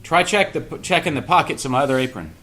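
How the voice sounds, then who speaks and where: A man playing a gentle, older painter and mentor in an audio drama read-through, unhurried, wry and caring. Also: fairly high pitch, animated. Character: gentle old painter and mentor, cafe owner. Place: cast read-through in a room. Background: music underscore.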